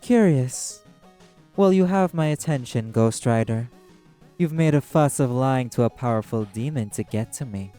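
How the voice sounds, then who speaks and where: Guy doing proud old arch-demon running a casino, smooth mellow tone, haughty theatrical drawl, music playing behind. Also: mid-range voice, animated, moderate pace, pitched above the performer's own voice, close to an everyday voice. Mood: menacing, curious. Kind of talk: scripted audio roleplay monologue.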